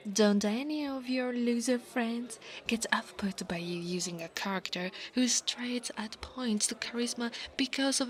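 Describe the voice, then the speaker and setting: A woman's voice: fairly high pitch, a bit bright. A woman playing an insecure, mocking young female dragon bully in an ASMR roleplay, with a taunting, drawling delivery. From Italy.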